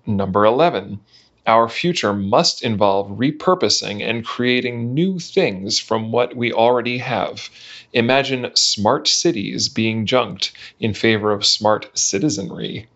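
A man reading a written text aloud in a measured, enunciated way for a podcast. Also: on the low side, a bit bright. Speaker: reader of a manifesto. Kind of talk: scripted reading of a numbered manifesto. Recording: dull, lo-fi podcast audio.

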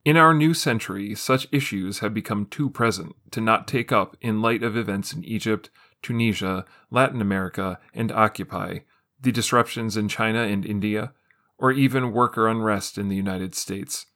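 Low-pitched man reading an essay. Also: normal speed, bright, forceful tone. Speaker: reader of an essay. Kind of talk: scripted narration, reading an essay aloud.

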